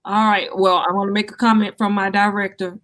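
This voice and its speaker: A woman giving sincere conversational remarks as a show guest, in a measured, encouraging way. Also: mid-range voice, little pitch movement, fairly bright tone. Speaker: cast member, show guest.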